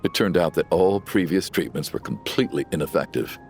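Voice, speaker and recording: An actor playing a grieving middle-aged father, anguished and pleading, his voice swinging from low and sombre to raised cries, over faint game music. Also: expressive, fairly quick. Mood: somber. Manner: anguished, pleading, rising to shouts. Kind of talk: video game voice acting.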